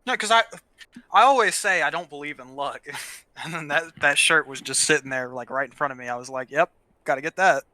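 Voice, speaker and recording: This young man has a very bright, slightly high voice captured with a dry sound. He co-hosts gameplay in a casual, playful and informal way. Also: brisk, dry recording. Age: young adult. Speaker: gaming co-host. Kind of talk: casual chat over gameplay.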